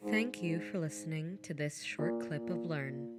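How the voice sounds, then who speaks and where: Female podcast creator for scripted outro announcement, measured pace, strong music bed. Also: sits low.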